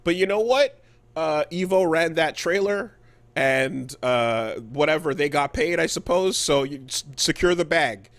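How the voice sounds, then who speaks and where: A man's voice: a little high, measured pace, no echo. A man co-hosting a podcast, telling gaming news in casual, profane, comedic chat, acting out quotes as he goes. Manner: animated storytelling with acted-out quotes. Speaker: podcast co-host.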